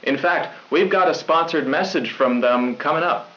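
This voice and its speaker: A young man playing a cheerfully corny high school news anchor in a scripted newscast on dull old tape. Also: moderate pace, close to an everyday voice. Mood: chipper. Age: young adult. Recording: dull old VHS broadcast sound.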